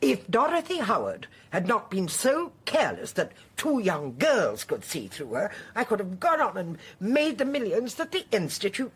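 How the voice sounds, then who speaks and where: A woman's voice: medium pitch, huge pitch swings, moderate pace, few pauses, slightly rough, exaggerated voice. An actress playing a genteel, haughty older woman in a radio drama, a chatty and unrepentant poisoner with an imperious, emphatic manner. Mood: indignant.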